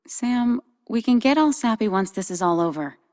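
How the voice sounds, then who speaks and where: A woman's voice: a little high, lively intonation, normal speed, a little mellow, lightly acted. A woman acting as a determined, anxious, no-nonsense ally with an American accent and an earnest, urgent manner in a video game. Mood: worried, impatient.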